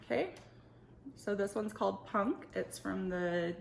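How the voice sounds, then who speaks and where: A young adult woman chatting casually while doing her makeup, relaxed and joking. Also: mid-range voice, creaky. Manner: relaxed, joking, drawn-out speech. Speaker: makeup vlogger. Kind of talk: casual makeup tutorial chat. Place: indoor room at a makeup table. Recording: echoey room with faint noise.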